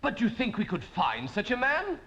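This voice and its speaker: A man playing a blunt, rude conspirator lord in a period comedy, with a brisk delivery. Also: high voice, huge pitch swings, roomy sound, heavily acted. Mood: urgent. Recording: dull recording, loud background noise.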